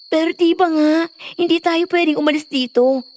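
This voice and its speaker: Woman playing a frightened, very high-voiced young woman. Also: fairly even intonation, normal speed, darkish tone. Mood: anxious. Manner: anxious, questioning. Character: frightened, questioning young bride-to-be visiting a village. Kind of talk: Filipino audio horror drama acting.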